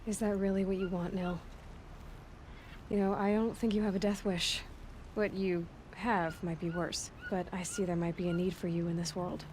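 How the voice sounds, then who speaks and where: A woman playing a principled young huntress in a video game, speaking in an earnest, measured, frank way. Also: on the low side, flat delivery, a little mellow, a little hiss or hum, natural voice. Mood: firm. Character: level-headed young female huntress, guarded and principled. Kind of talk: naturalistic video game cutscene acting.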